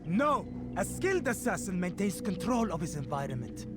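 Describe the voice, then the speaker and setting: A sneering, resentful assassin from a medieval game. His voice is high and very animated as he scolds. Music plays clearly behind him. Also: moderate pace. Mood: scolding. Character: sarcastic, bitter male medieval assassin comrade.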